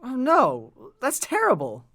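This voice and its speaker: Guy doing polite teen boy, kinda hesitant, earnest, super animated pitch, old-timey audio drama. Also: a little slow, exaggerated voice. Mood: curious, earnest. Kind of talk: nostalgic audio drama acting.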